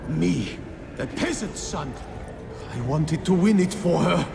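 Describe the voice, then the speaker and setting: An actor playing the remorseful ghost of a peasant-born squire, mournful and halting, in a video game. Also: male voice, a little high, lively intonation, creaky, background noise, full character voice. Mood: tormented. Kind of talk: dramatic video game acting.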